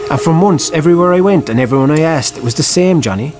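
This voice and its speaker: An actor playing a probing, reflective writer with an Irish accent, narrating and talking in a radio drama. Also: male voice, on the high side, animated, fast, over music, close to an everyday voice. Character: probing, reflective male writer telling a troubled friend's story.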